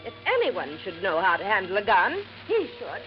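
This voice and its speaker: An actress playing a haughty young niece in a 1950s radio drama, prim and cutting. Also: female voice, medium pitch, wildly swooping pitch, normal speed, faint music behind. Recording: muffled old radio broadcast.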